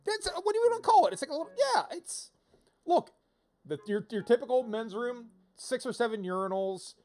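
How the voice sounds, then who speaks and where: A man giving casual, rambling game-stream commentary in an animated, digressive way, repeating himself for emphasis. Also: very high voice, a little fast. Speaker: livestreamer playing a visual novel. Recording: game music behind the voice. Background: video game music.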